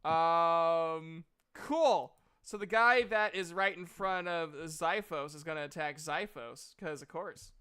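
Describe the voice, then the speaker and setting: Male game master, high-pitched, bright, casual tabletop narration, profane. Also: takes it slowly, clean tone.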